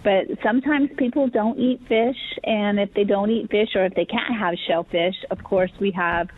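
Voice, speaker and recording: A woman guest on a radio call-in show, describing her fishing lodge with eager, halting speech full of fillers, her voice slightly worn. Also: mid-range voice, slight background noise. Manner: enthusiastic, halting with many fillers. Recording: tinny phone line.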